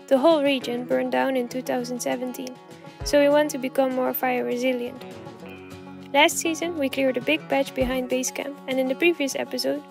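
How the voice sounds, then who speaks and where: Upbeat high-voiced woman with gravelly edge. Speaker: vlog host. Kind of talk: narrated project vlog.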